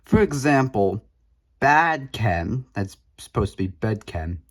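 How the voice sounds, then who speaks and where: Offhand low-voiced man showing his collection. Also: very animated, takes it slowly. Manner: offhand and sarcastic, with dry mumbled asides. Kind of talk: casual show-and-tell vlog.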